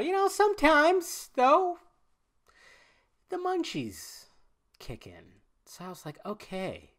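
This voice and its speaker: A man's voice: a little high, sharp tone. A man hosting a podcast in loose, rambling casual chat, full of fillers and restarts, sounding nasal and stuffed up from a cold. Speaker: podcast host.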